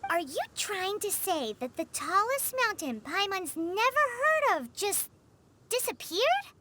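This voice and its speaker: A woman voicing a tiny, chirpy, excitable childlike fairy companion in a video game, with a squeaky voice. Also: unhurried, a little hiss or hum, exaggerated voice. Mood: surprised. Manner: excitable, exclaiming, refers to self in third person. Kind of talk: video game character acting. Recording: music behind the voice.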